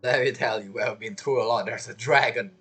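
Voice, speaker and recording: Woman streaming a game, really low voice, chatty off the cuff reactions, faint music behind. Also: a little fast, husky. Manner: chatty, reacts aloud in loose fragments. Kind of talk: casual game commentary.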